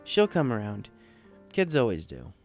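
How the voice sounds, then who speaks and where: A man's voice: on the low side, wildly swooping pitch, measured pace, exaggerated voice. A man voicing an adult pegasus pony, a reasonable and reassuring parent who gives friendly advice in a story reading. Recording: faint music under the voice.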